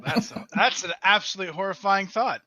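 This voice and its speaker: A man's voice: sits high, brisk, bright, forceful tone. A man appearing as a podcast guest, chatting casually over a dull, lo-fi link. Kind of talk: casual chat.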